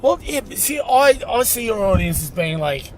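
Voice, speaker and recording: A man's voice: very animated, moderate pace. A middle-aged Australian man, a comedian chatting casually and telling anecdotes in slangy, sweary language. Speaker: stand-up comedian. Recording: noisy in-car recording, traffic rumble.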